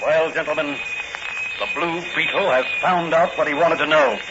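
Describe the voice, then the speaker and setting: Casting: a self-assured masked hero for 1940s radio serial; fairly high voice, scratchy noisy recording. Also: male voice, normal speed, vocal fry, muffled recording. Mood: cool, taunting. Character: cool, confident male masked crime-fighting hero. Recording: scratchy old radio transcription, heavy noise.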